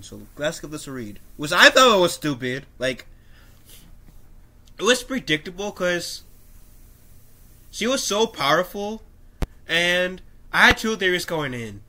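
A young man with a stuffed-up nose talks to his webcam from a small room at home. His voice is bright and pressed, sitting a little higher than most men's. He reads an article aloud in a casual, animated way, breaking off into his own opinions and coughing now and then. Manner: animated, gestures with his hands, frequent coughs and restarts. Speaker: YouTuber. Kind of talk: casual commentary reading an article.

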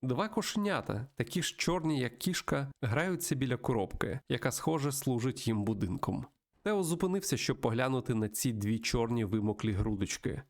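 A man reading a visual novel aloud as a let's play host, in an expressive storytelling manner. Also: mid-range voice. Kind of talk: narrated visual novel playthrough reading with asides.

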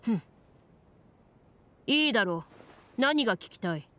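A woman voicing a short-tempered male merchant in a game, with rough, curt, scoffing speech. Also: a little high, lively intonation, measured pace, fairly bright tone, a little hiss or hum. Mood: surly, irritated.

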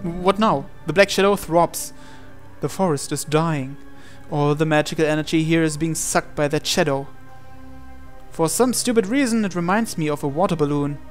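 A man's voice: fairly high pitch, normal speed, faint music behind. A man reading a visual novel aloud as a game player, in dramatic storytelling narration.

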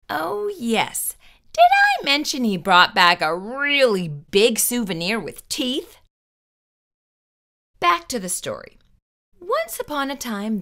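A middle-aged woman hosting children's storytime, reading a picture book aloud in a theatrical, deliberate, emphatic way. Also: a little high, sharp tone. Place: small indoor room with bookshelves.

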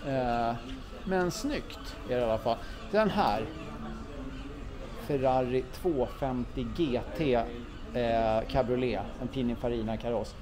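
A man talks his way through an indoor car museum while holding the camera, chatty and full of enthusiasm, often thinking aloud and correcting himself mid-sentence. The murmur of other visitors is clearly heard behind him. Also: mid-range voice. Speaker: car vlogger touring a museum. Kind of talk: casual walk-through commentary. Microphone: handheld camera mic, walking. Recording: visitor crowd in background. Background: crowd murmur of museum visitors.

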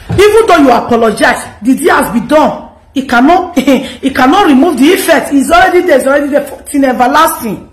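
Nigerian female commentary host, 30s, animated and scolding, higher voice, echoey room. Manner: animated, scolding, mixes pidgin and English. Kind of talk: direct-to-camera commentary.

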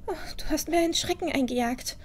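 A woman's voice: high-pitched, fast, natural voice. A woman playing a curious, adventurous young village girl in a fantasy audio drama, often muttering to herself. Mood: startled, amused.

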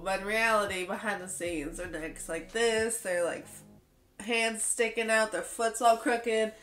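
A woman's voice: fairly high pitch, roomy sound. A woman in her late twenties, slightly congested from a cold, talking candidly to camera in her bedroom, earnest and laughing often. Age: late 20s. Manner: earnest, expressive, laughs often, slow unhurried talk. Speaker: vlogger. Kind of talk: candid personal chat to camera. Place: bedroom with hanging lights, indoors.

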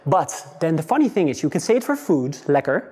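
A young man with a Dutch accent teaching a lively, encouraging beginners' language class, slowing down to model pronunciation. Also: fairly high pitch, breathy edge. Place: large open classroom with students seated around.

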